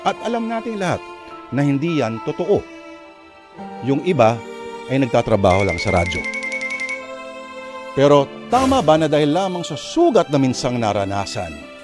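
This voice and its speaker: A man's voice: medium pitch, very animated, normal speed, long pauses, music in the background. A man with a Filipino accent hosting a radio drama, reading dramatic narration in a florid, theatrical way.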